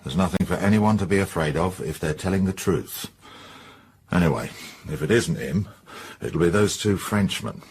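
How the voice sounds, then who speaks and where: An actor playing a blunt, suspicious middle-aged English police inspector, bluff and colloquial, in a radio drama. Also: male voice, deep voice, moderate pace, a little mellow, full character voice. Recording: clear background noise and ambience.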